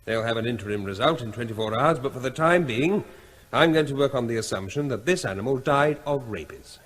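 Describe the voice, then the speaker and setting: An actor playing a brisk, matter-of-fact government vet in an old radio drama. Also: male voice, medium pitch, lively intonation, a bit bright, natural voice. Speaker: regional veterinary officer. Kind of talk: 1970s radio drama acting. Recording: long reverb.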